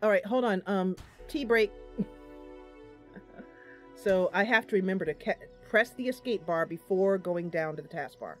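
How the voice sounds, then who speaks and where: A woman doing casual live game commentary as a streamer, chatty and a bit goofy, thinking out loud as she goes. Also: mid-range voice, moderate pace, pauses often, soft background music. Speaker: streamer and host of a gaming channel.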